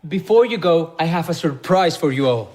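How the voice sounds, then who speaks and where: A man's voice: a little high, animated, moderate pace, a little mellow. An actor playing a polite, earnest, bookish young man, a traveller in a radio drama. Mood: eager.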